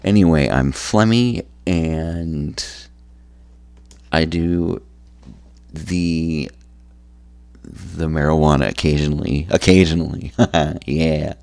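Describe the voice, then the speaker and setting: Man, tired and phlegmy, extremely deep, slow rambling talk, dry close sound. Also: a little mellow, no room sound. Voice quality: gravelly, low voice. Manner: slow, rambling with many ums and restarts. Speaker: podcast host. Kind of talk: solo podcast chat.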